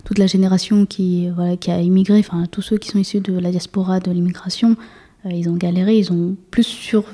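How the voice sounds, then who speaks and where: A woman's voice: fairly low pitch, fairly even intonation, a little fast, warm tone. A woman in her twenties, a guest being interviewed, talking casually with many fillers and restarts. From France. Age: 20s. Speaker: guest, municipal councillor.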